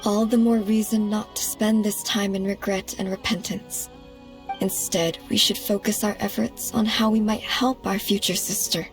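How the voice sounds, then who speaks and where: A woman's voice: medium pitch, steady tone, natural voice. A woman acting a solemn, composed ancient goddess. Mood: resolute. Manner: measured, formal, dignified. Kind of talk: dramatic game cutscene acting. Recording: background music under the voice.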